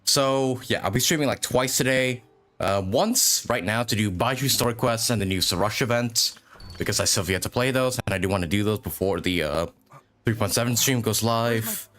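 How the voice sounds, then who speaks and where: A man's voice: fairly low pitch, normal speed, a bit bright. A man hosting a game livestream in casual, chatty, rambling talk, getting over a lingering cough. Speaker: game streamer host.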